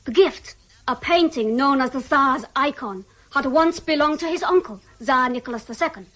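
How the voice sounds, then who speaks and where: High-voiced, formal Soviet researcher. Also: female voice, normal speed, fairly bright tone, rough recording. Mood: assured. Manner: formal, self-assured. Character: crisp, dutiful Soviet female research officer. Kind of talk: British radio drama acting.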